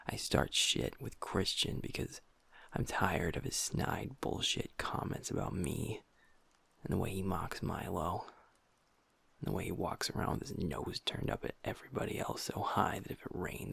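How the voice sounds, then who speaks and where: A man voicing a troubled young werewolf who is his pack's second in command, speaking softly and hushed, swearing and criticizing himself in an intimate audio roleplay. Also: low-pitched, expressive, normal speed, gravelly, husky, dry recording, full character voice. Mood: frustrated. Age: young adult.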